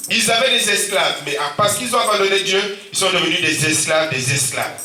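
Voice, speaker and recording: A man's voice: high-pitched, brisk, sharp tone, faint music behind. A man preaching a sermon as pastor, with a sore throat and a cold, calling out amen and alleluia often, with a Central African French accent. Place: church hall. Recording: echoey church from a distance.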